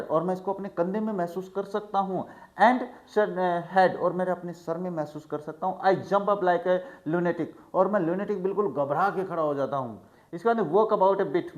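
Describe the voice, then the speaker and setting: Man slowly reading English lines, then explaining them. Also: on the high side. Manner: chatty, addresses students as "bhai". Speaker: teacher. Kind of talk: line-by-line textbook explanation.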